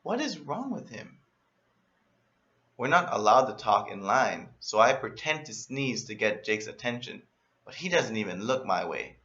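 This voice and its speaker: A man reading a children's story aloud in an animated, expressive way, as a storyteller. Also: on the low side, moderate pace, highs cut off. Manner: expressive, acts out emphasis and exclamations.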